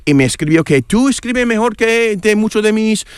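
A man's voice: high voice, lively intonation, normal speed, clear, resonant tone, no echo, studio quality. A middle-aged man, an English native, speaking Spanish with a foreign accent as a radio guest, hesitant and searching for words. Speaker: guest, columnist. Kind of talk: radio interview chat.

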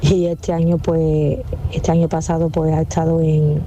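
A woman's voice: low voice, flat delivery, normal speed, warm tone, slight background noise. A mother calling a radio show for the first time, speaking politely and hesitantly with many fillers. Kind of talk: casual phone-in chat. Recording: dull phone line.

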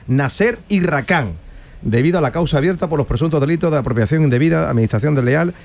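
Teasing sports radio host. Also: male voice, fairly high pitch, fast, gravelly. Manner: joking, teasing, lively. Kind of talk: casual sports radio chat.

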